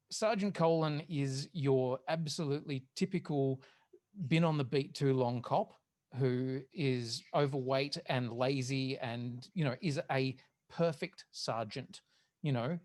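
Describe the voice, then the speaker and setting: A woman's voice: extremely low pitch, darkish tone. A woman talking casually and swearing freely as a podcast panelist.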